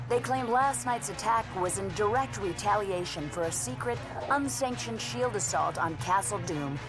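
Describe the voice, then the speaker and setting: A woman performs a television newsreader for a video game. The delivery is formal and grave, at a medium pitch. Music runs clearly behind the report. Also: expressive, normal speed, close to an everyday voice, rough recording. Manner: formal, scripted news delivery. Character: grave female television news anchor. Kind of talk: video game cutscene acting as news report.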